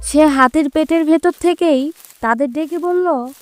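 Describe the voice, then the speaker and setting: A woman storyteller reads a scripted fable for children. Her voice is high, and the sound is very dry with no room echo. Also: a little slow, soft background music.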